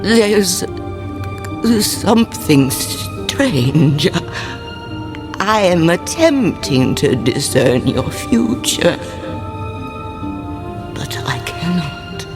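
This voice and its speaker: An actress playing a solemn, cryptic fortune teller in a radio drama, speaking in formal mystical pronouncements. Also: female voice, fairly low pitch, big pitch swings, very slow, pauses often, music in the background, exaggerated voice. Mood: mysterious.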